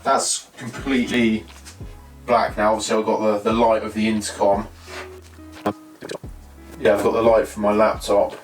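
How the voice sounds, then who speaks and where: A man's voice: lowish voice, level tone, normal speed, long pauses. A British man giving a casual, unscripted product review, thinking aloud in short, hesitant fragments. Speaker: presenter of a tech product review. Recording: roomy echo, distant mic, faint music.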